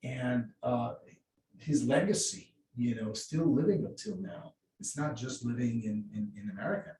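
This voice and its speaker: Halting man from Egypt, distant. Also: medium pitch, lively intonation, dark tone. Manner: halting, many restarts and fillers. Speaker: mosque outreach volunteer. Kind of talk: panel discussion. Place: event room. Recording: roomy distant sound with faint noise.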